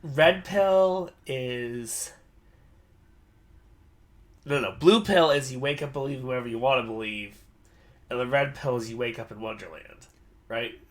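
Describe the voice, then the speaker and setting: A man's voice: medium pitch, a little slow, long pauses. A man giving casual let's-play commentary in a conversational, bantering style. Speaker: video game commentator.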